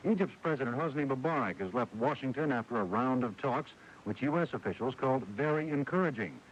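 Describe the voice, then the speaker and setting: A man's voice: mid-range voice, lively intonation, a little fast. An American man reading a scripted network radio news bulletin as anchor. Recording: dull, hissy 1980s radio broadcast recording.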